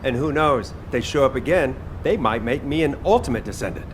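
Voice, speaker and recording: Casting: male actor as joking young chef-soldier for a game, casual and teasing, very animated delivery. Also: mid-range voice, a little fast, a little hiss or hum, natural voice. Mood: teasing, easygoing. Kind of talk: video game voice acting.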